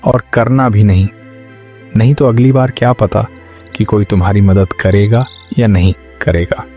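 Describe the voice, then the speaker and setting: A man voicing a stern but compassionate hermit sage in an animated moral story. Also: lowish voice, measured pace, close to an everyday voice. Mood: admonishing. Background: music.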